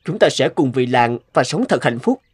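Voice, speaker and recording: A man's voice: mid-range voice, animated, normal speed, husky. A man voicing a rugged young hunter in a fairy-tale cartoon, devoted and earnest in a romantic way. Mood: earnest, pleading.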